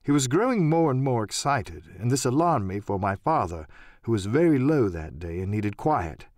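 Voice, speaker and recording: A man's voice: medium pitch, very animated, moderate pace, darkish tone, no room sound, clean studio sound. A man narrating an audiobook as scripted, dramatic first-person storytelling.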